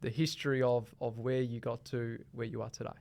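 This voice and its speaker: A man's voice: mid-range voice, little pitch movement, brisk, gravelly, darkish tone. A man hosting a podcast interview, friendly and prone to repeating words as he frames long questions. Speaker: podcast host.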